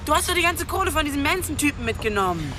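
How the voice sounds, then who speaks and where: Bossy, scornful streetwalker killer over loud music. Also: female voice, high-pitched, very animated, rapid-fire. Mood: irritated, bossy. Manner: curt, scornful, commanding. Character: tough bossy female prostitute turned killer, foul-mouthed. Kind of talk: radio drama acting.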